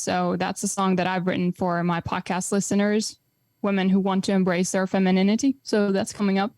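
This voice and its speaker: A young woman from Finland talks through her thoughts as a podcast guest, pausing often and restarting phrases. Her voice sits at an ordinary pitch for a woman. The recording is dry and close, with no room sound. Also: a little mellow, dry recording. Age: 20s. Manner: reflective, many fillers and restarts. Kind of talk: casual podcast conversation.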